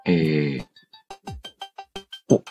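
Deep-voiced man hosting a casual letters show. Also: extremely slow, dark tone, faint music behind. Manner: playful quips and retorts to what is read. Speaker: host of an audio show reading listener letters. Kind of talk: casual radio-style chat, reading listener messages aloud with asides.

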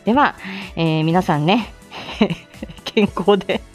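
A woman's voice: on the low side, slow, faint music behind. A woman hosting a live audio show in a casual, chatty way, candid and self-deprecating, often breaking into laughter as she talks. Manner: laughs often while talking. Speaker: host of a live audio show, speech therapist. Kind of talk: casual chatty solo livestream talk.

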